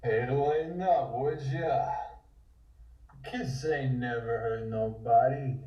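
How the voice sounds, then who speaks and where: Guy doing a slangy twenties tough, cocky and slow, muffled far mic, table read. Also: mid-range voice, dark tone, lightly acted. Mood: pushy. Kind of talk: dramatic comic table read. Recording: roomy, muffled, distant mic.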